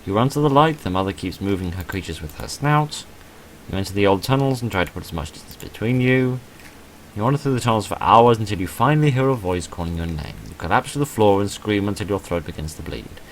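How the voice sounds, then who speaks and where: A man with a low voice talks through a video game as a casual let's-player. His delivery is measured and understated, with a mildly hedging way of putting things. There is faint noise behind the voice. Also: expressive, rough recording. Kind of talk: casual game playthrough commentary, reading text aloud and reviewing.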